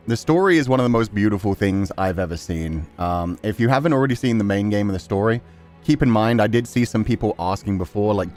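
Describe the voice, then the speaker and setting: A man's voice: fairly low pitch, darkish tone. A man hosting a game livestream, chatting casually and enthusiastically to his viewers in a rambling way. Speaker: game streamer, host. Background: music.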